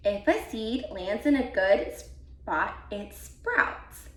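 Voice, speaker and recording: A woman reading a children's picture book aloud as a volunteer, with deliberate, expressive emphasis for young listeners. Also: mid-range voice. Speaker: volunteer read-aloud reader. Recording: roomy, echoey sound.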